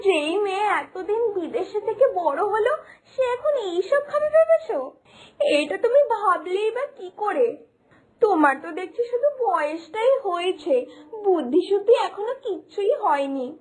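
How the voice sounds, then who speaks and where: A woman voicing a bossy village neighbour who scolds and lectures in a cartoon story. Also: very high-pitched. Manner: scolding, lecturing, mock dramatic. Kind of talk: cartoon voice acting.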